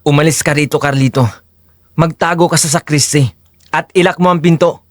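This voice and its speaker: A man playing a determined, protective fugitive in an audio drama, speaking gravely and emphatically. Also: fairly high pitch, fairly even intonation, a little fast, no room sound, studio quality, close to an everyday voice. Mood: tense, protective.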